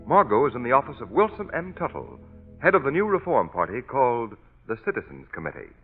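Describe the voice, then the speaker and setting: A man's voice: medium pitch, wildly swooping pitch, fast talker, slightly rough. A male announcer introducing a 1930s radio drama in a formal, declamatory manner. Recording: tinny old radio recording with music.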